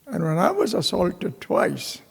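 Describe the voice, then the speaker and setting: A man from Pakistan, a priest, telling his own story in a homily, slow and halting with many fillers and restarts. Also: high-pitched, huge pitch swings, gravelly, slightly rough, in a large hall. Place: parish church.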